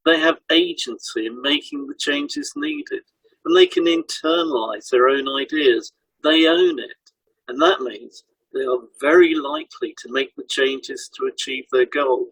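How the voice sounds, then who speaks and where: A man's voice: mid-range voice, expressive, measured pace, gravelly, a bit bright, breathy edge, no echo, poor audio. A man co-hosting a podcast as a hypnotherapist, reading scripted explanations in an instructional way.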